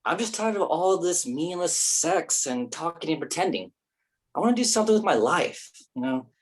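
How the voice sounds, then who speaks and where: A man's voice: fairly high pitch, very animated, moderate pace, breathy edge. A man playing a theatrical, flirty, self-pitying actor in his mid-thirties in a staged play reading. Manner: whiny, theatrical, pretentious.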